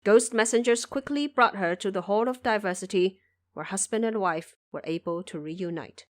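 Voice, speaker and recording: A woman's voice: medium pitch, normal speed. Woman narrator reading out a story, scripted audio drama style, mid-range voice.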